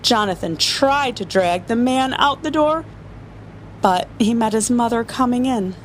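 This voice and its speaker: A woman's voice: on the high side, lively intonation, measured pace, slight background noise. A woman reading a children's picture book aloud as a story-time reader, playful and often chuckling.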